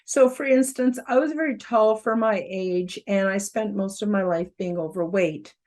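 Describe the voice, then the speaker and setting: An outspoken woman around seventy speaks in a loud, carrying voice at a middling pitch. She talks casually, with frequent fillers and emphatic rises when she makes a point. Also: moderate pace. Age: about 70. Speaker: host giving a self-help presentation. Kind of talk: casual spoken presentation to camera.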